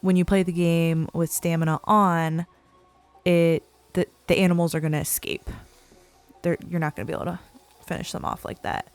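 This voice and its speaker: A woman hosting a relaxed gameplay video, her voice scratchy and croaky from a cold, chatting in a laid-back, self-deprecating way. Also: on the low side, normal speed, faint music behind. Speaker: gaming channel host. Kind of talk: casual gameplay commentary.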